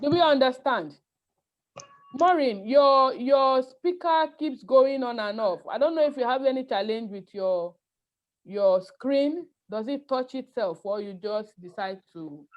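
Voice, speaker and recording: Nigerian woman tutoring a class online. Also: a little high. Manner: firm, instructive, asks the class questions. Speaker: IELTS tutor. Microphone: video call. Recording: muffled video call audio.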